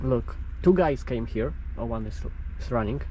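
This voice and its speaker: A young man whose first language is Polish, a truck driver vlogging casually outdoors at parking spots. He talks in a halting way with many fillers. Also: medium pitch, animated, dark tone, rough recording. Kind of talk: casual vlog talk to camera. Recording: outdoor vlog audio with road noise.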